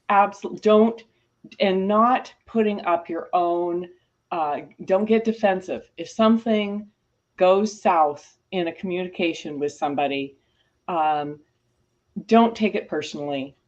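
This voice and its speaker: A woman guest on a video call, talking thoughtfully and pausing with fillers as she gives her advice. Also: lowish voice, darkish tone, lo-fi sound. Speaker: guest, podcast host. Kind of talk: casual interview chat.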